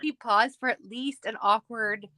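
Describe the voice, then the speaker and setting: A woman's voice: fairly high pitch, steady tone, measured pace, sharp tone, dry recording. A woman with a Canadian accent chatting casually in a giggly, rambling way as she hosts a craft stream. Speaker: craft livestream host. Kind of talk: casual chat while crafting.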